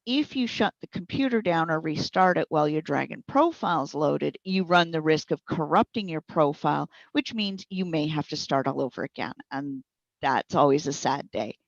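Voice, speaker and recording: Woman, very low pitch, informal explanatory answers, folksy phrasing, muffled recording. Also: expressive, normal speed, vocal fry, no room sound. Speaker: interviewed speech software trainer and support provider. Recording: dull, lo-fi audio.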